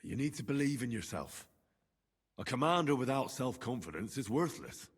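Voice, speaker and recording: An actor playing a weary, dutiful young squire with a dry manner. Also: male voice, mid-range voice, sing-song, normal speed, husky, big-room sound.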